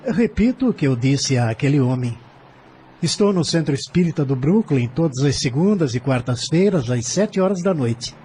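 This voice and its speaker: An actor playing a kindly, earnest spiritist doctor who speaks in a gentle, measured, formal way in an audio drama. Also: male voice, a little high, expressive, a little hiss or hum, natural voice. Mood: earnest, composed.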